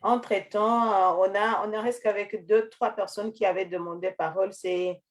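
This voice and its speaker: A woman's voice: mid-range voice, fairly even intonation, moderate pace. Hesitant woman moderating a video call. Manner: hesitant, many fillers and restarts, thanking speakers. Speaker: meeting moderator. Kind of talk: moderating an online meeting.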